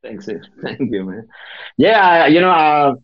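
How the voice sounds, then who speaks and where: Guest: German-accented man, slightly higher voice, halting and laughing, lo-fi call line. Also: big pitch swings, no echo. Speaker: guest music producer. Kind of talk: casual interview.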